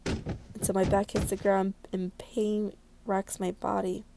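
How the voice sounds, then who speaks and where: A woman's voice: mid-range voice, flat delivery, a little slow, vocal fry, background noise. A young woman hosting a let's play, reading game narration aloud and commenting in an informal, reactive way. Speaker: gaming video host.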